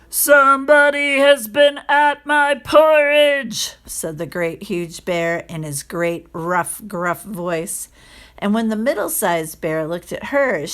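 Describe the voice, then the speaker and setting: A woman reading a children's story aloud in a careful, expressive narrating style. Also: fairly low pitch. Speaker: storybook reader.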